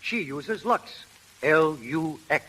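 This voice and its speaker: Breezy, slightly high-voiced American husband. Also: male voice, lively intonation, takes it slowly, a bit bright, full character voice, poor audio. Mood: cocksure. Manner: slangy, know-it-all. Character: breezy, cocksure young husband in an advert. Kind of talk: 1930s radio ad acting. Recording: vintage 1930s radio recording.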